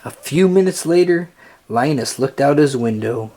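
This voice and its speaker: A narrator reading a children's storybook aloud in a deliberate, expressive storytelling manner. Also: medium pitch, slight background noise.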